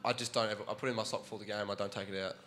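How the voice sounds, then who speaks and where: Shy young Australian player. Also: male voice, fairly low pitch, fairly even intonation, rapid-fire, heavy background noise. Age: young adult. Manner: shy, plain, short answers. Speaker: guest player. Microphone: handheld mic.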